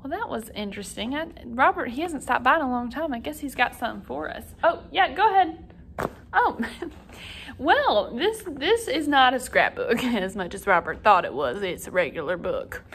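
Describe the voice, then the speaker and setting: A woman with a high voice teaches music to young children online. Her delivery is expressive, with lively, wide swings in pitch as she talks to the class and reads stories aloud. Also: moderate pace, a little hiss or hum. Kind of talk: children's online lesson and story read-aloud.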